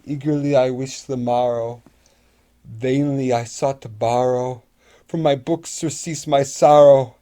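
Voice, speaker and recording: A young man giving a theatrical, brooding recitation of gothic horror poetry, moving between hushed and loud delivery. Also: mid-range voice, steady tone, measured pace. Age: young adult. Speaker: performer reciting a poem. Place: furnished basement living room.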